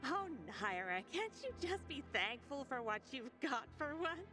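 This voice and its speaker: A woman's voice: high voice, sing-song, measured pace, over music. A woman acting a dry, long-suffering, exasperated local in a video game cutscene.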